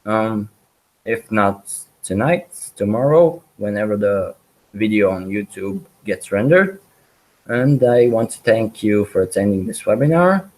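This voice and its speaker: A man leads a programming webinar, talking through his code as he types. His voice sits somewhat low and he speaks unhurriedly, filling gaps with ums and backing up mid-phrase to fix his wording. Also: darkish tone, poor audio. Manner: hesitant, many ums and restarts. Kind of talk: live coding walkthrough.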